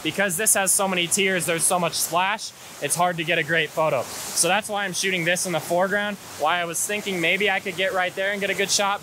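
A man in his twenties with an American accent, talking casually as a hiking and photography guide outdoors on an action camera. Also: high-pitched. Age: 20s. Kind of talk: casual vlog explanation. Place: outdoors in a canyon beside rushing water. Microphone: action camera at arm's length. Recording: hiss and loud rushing-water noise. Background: rushing water, hiss.

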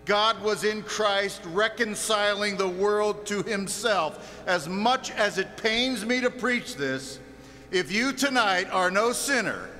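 High-pitched man preaching fiery sermon, echoing hall. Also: sharp tone. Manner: emphatic, confrontational, rhetorical repetition. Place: large reverberant hall. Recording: echoey hall, faint mains hum.

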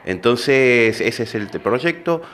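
A middle-aged man, an employment office coordinator, speaking in a steady official way with fillers, into a handheld microphone in an office room. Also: mid-range voice, takes it slowly. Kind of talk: news interview. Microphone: handheld reporter microphone.

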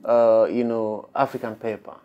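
A man's voice: medium pitch, level tone, moderate pace, muffled recording. A man in his thirties hosting a podcast interview, praising his guest and leaning on "you know". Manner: warm praise, many fillers like "you know". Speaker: podcast host. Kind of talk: casual interview. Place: hotel room interview set, indoors.

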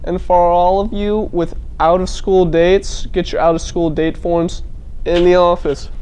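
A man's voice: sits high, little pitch movement, unhurried, a little mellow, clean tone, poor audio. Teenage boy reading school announcements. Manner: addresses the school audience directly. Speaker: student news anchor. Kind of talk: scripted announcements.

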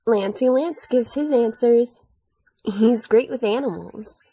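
A woman reads comic dialogue as an amateur voice actor. Her voice is slightly high but husky and mellow, with an unhurried delivery. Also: dry recording, poor audio. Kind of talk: comic dub, acted dialogue.

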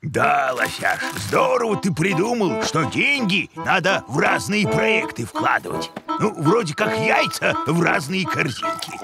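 A gruff, homely cartoon bear farmer, gravelly and easygoing with a lively up-and-down voice. He talks in a plain folksy way. Loud music runs under him. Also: fairly high pitch, a little slow, heavily acted. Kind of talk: cartoon voice acting.

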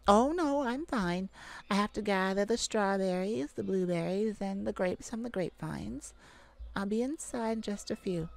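A woman playing a caring, affectionate mage gardener in a gentle ASMR roleplay audio drama. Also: mid-range voice, normal speed, creaky, natural voice. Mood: playful, caring. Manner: affectionate, gentle, full of endearments. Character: tender, caring mage gardener devoted to their werewolf mate.

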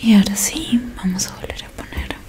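A young woman with a Rioplatense Spanish accent doing an ASMR roleplay in a soft, breathy whisper close to the microphone, slowly repeating words to soothe. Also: medium pitch, lively intonation. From Argentina. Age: young adult. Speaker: ASMR roleplay creator playing a technician. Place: small dim curtained room indoors. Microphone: close microphone in front of her.

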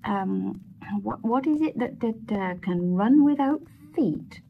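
A woman voicing a simple young man who speaks hesitantly, with halting fillers. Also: fairly high pitch, animated, vocal fry, breathy edge, lo-fi sound, voice pushed up, exaggerated voice. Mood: puzzled.

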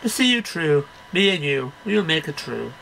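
A man's voice: fairly high pitch, very animated, normal speed, gravelly, bright, forceful tone, a little hiss or hum, rough recording. A middle-aged man reading poems aloud in a declamatory, sing-song way, one line at a time. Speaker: amateur poetry reader. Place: small cluttered bedroom. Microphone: webcam at about arm's length.

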